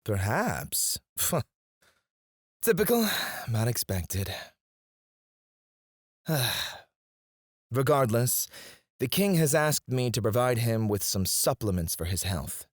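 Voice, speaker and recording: Man acting as sardonic male fantasy alchemist, haughty and flirtatious, slow drawl, very expressive swoops, ASMR roleplay. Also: medium pitch, dry recording, clean studio sound. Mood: mocking, irritated. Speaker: voice actor in audio roleplay. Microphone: close studio mic, dry.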